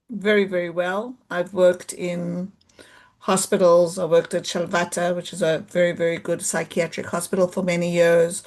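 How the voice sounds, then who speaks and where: Chatty South African woman on a video call. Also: lowish voice. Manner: chatty, loose, restarts often, sprinkles in Hebrew words. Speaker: occupational therapist giving a talk. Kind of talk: informal online presentation.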